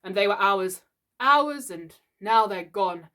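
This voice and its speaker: A woman voicing a proud family father who rants with loud, pompous sarcasm. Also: mid-range voice, animated, slow. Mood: mournful.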